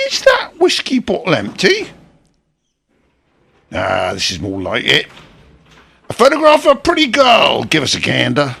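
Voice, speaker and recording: Lively, blunt war veteran. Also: male voice, on the high side, measured pace, vocal fry, long pauses, slightly rough, exaggerated voice. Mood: busy, brisk. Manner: blunt, teasing, colloquial. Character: gruff, chirpy working-class older war veteran and father. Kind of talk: radio play acting.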